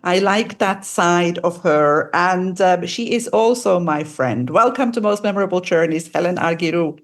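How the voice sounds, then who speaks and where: A woman's voice: on the low side, expressive, normal speed. A woman hosting an interview podcast who talks in an effusive way and heaps praise on her guest. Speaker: podcast host.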